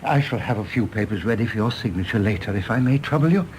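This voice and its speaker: A man's voice: lowish voice, expressive, fast talker, a little mellow, natural voice, low-quality recording. A male actor as a fussy, genial old English retainer in a radio play. He is formal and deferential, a little hesitant, and his intonation bobs up and down over faint background noise. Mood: genial, courteous. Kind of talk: British stage-play radio drama acting.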